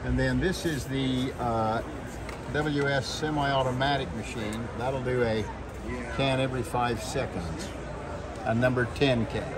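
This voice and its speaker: A man's voice: medium pitch, fairly even intonation, a little slow. A man in his sixties giving a plain product demonstration as an exhibitor. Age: 60s. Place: large indoor expo hall booth. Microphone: handheld camera at arm's length or further. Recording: very noisy trade show floor.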